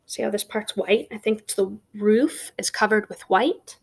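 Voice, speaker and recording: A woman reading a children's picture book aloud as an expressive storyteller, with emphatic pauses and conversational asides. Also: mid-range voice, creaky, slight background noise.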